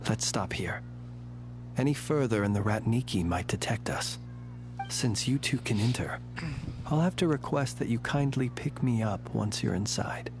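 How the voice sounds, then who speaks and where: A man's voice: medium pitch, lively intonation, normal speed, natural voice. An actor playing a composed, courteous, mysterious soldierly man in a video game, speaking formally and with measure, over a noisy hum. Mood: calm, resolute. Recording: clear hum and background noise.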